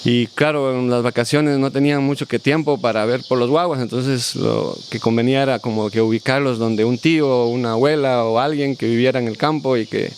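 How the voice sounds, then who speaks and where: A man's voice: mid-range voice, steady tone, a little mellow, a little hiss or hum. Reflective man. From Costa Rica. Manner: reflective, unhurried storyteller. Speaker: guest, family farmer. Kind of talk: casual interview storytelling.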